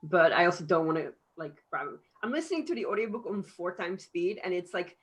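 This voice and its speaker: A woman's voice: on the low side, expressive, fairly quick, a crowd in the background. A woman chatting casually as a participant in an online book discussion. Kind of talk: casual chat. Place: video call.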